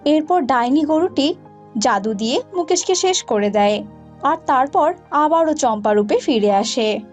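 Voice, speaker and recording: A woman's voice: high-pitched, animated, measured pace. A woman narrator reading a scripted story, with music behind.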